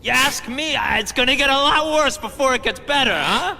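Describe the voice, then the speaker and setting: An actor playing a shifty, nervous gang informant who stammers and keeps asking "huh?" in a game cutscene. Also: male voice, very high-pitched, a little fast, gravelly, bright, forceful tone, long reverb tail, slight background noise, heavily acted. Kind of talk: video game cutscene acting.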